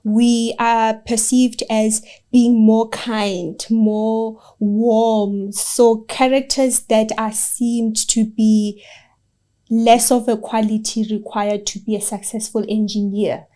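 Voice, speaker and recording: Mellow woman engineer. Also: a little high, flat delivery, takes it slowly. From South Africa. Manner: earnest, encouraging, gives direct advice. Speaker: project engineer, guest. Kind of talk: interview answers.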